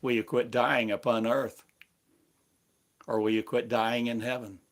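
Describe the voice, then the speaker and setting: A man preaching a prophetic sermon, speaking slowly and solemnly in the voice of God, with long pauses between phrases. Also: mid-range voice, flat delivery.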